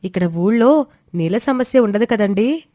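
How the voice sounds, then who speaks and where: A woman's voice: medium pitch, animated, moderate pace, warm tone, no room sound, clean studio sound, pitched above the performer's own voice, close to an everyday voice. A woman voicing a clever, concerned young daughter-in-law, respectful and earnestly questioning, in a moral story.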